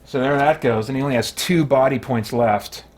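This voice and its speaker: A man's voice: mid-range voice, moderate pace, a little mellow. A man hosting a solo tabletop game, giving casual play-by-play and thinking aloud with mild exclamations and chatty asides to himself.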